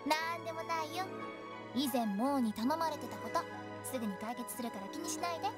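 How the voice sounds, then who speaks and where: A woman's voice: sits high, lively intonation, brisk. A woman playing a mischievous, cheeky teenage girl funeral director in an anime game, with playful, theatrical sales patter. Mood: breezy. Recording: music behind the voice.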